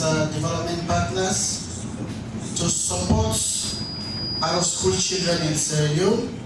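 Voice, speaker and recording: A man with a fairly high voice gives a steady, formal speech about his organization's work. He sounds far from the mic in a very echoey hall, with music playing clearly behind him. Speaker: NGO representative giving a speech.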